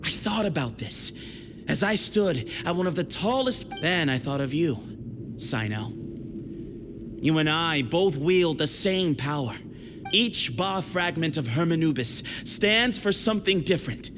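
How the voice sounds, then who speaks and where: Young desert fighter in a game, high voice, earnest and dramatic, slow, noisy background. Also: male voice, lively intonation. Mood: reflective. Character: bold, earnest young desert warrior challenger. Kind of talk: video game character acting. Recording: clear background noise.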